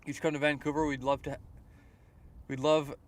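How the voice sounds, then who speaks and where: A young man from Canada talking on a live fishing stream outdoors by a lake. His voice sits a little high for a man. He rambles easily, doubling up words as he answers viewers' comments. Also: little pitch movement, a little fast. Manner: rambling, repeats words, chuckles often. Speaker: fishing livestreamer. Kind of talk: casual live-stream chat reading comments.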